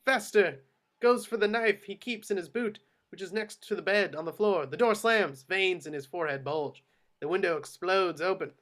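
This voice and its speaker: Fairly high-pitched man reading stage directions. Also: moderate pace, fairly bright tone. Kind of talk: scripted narration at a table read.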